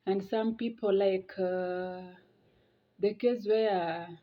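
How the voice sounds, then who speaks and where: A woman explains things informally to her viewers. She speaks slowly and haltingly, often repeating a phrase to stress it. Her medium-pitched voice comes through a slightly muffled, lo-fi recording. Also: steady tone. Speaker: video presenter. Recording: dull lo-fi audio.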